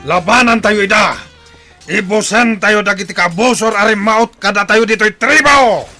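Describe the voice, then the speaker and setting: A blustering, high-pitched warlike chieftain. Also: male voice, moderate pace, soft background music. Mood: fierce, commanding. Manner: forceful, blustering, barking orders. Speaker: radio drama actor. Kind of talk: Philippine radio drama acting.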